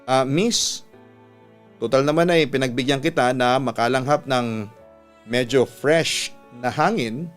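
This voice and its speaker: A man's voice: medium pitch, measured pace, soft background music, natural voice. A man with a Filipino accent voicing a courteous, flirtatious man in his thirties in a story. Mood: flirty.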